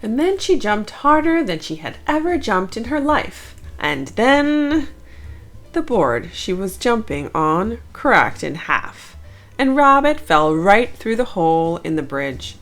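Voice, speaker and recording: Female children's storyteller: unhurried, animated, deliberate, with dramatic pauses. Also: medium pitch. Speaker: children's book narrator. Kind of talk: read-aloud storytelling for children.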